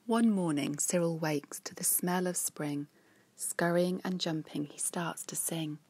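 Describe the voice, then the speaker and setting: A woman reading a children's story in an expressive, measured and dramatic way. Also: fairly low pitch, husky.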